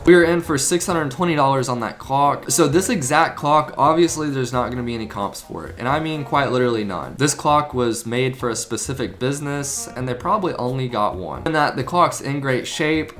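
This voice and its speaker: A relaxed man talks through his antique finds in an easy, conversational way at a middle pitch. Light music plays softly behind him, and at times he bargains politely with sellers outdoors. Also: a little fast, hardly any pauses. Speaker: antique advertising reseller vlogger. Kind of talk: casual vlog narration and haggling. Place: outdoor antique show among vendors.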